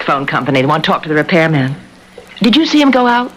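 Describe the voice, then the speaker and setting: A woman's voice: deep voice, very animated, fast. A woman playing a puzzled, anxious suburban wife in a 1970s radio drama. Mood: flustered. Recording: noisy 1970s radio broadcast.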